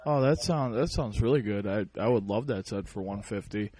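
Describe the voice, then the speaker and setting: A man's voice: fairly low pitch, moderate pace, a crowd in the background. A man in a casual phone conversation, hesitant and often interjecting with uh-huh. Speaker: prank caller. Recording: phone prank call recording.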